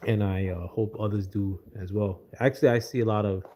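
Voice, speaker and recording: An American man giving casual political commentary on a livestream, pausing often with fillers and greeting viewers by name. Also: on the low side, fairly even intonation, warm tone, a little hiss or hum. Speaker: livestream host.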